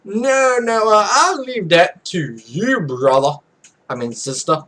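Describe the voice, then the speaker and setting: A man's voice: sits high, lively intonation, takes it slowly, fairly bright tone. A man hosting a let's play, casually reading visual novel text aloud and commenting, often stumbling over the words.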